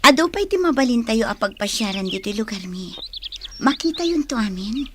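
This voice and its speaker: Sweet young woman in love, higher voice, radio drama, faint noise behind. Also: big pitch swings, moderate pace. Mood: cheerful.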